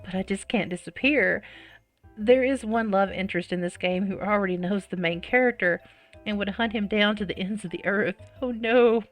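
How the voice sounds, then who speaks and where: A woman plays through a visual novel and reads it aloud. Her delivery is expressive and dramatic, breaking into her own reactions, with faint game music behind her. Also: medium pitch, moderate pace. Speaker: video game let's-player.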